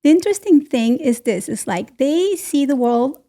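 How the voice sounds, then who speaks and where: A woman's voice: sits high, animated, moderate pace, mellow. A woman speaking as a podcast guest, explaining ideas informally and discursively with non-native phrasing. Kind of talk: conversational explaining.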